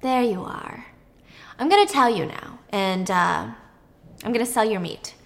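A woman voicing a calm, creepy male kidnapper in a story, matter-of-fact and coaxing. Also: mid-range voice, a little slow, creaky. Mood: coaxing, menacing. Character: calm, creepy young man, polite kidnapper.

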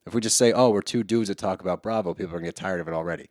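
Man, low voice, dry close recording, podcast co-host, comic promo banter. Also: racing, a little mellow, dry recording. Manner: hammy announcer delivery at times.

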